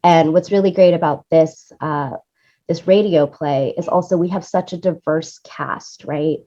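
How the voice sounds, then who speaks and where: Woman w a lowish voice being interviewed, gushing a bit, chatty, kinda muffled lofi sound. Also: fairly even intonation, unhurried, mellow. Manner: enthusiastic, effusive. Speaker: interview guest, radio play producer and music supervisor. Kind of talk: conversational interview talk.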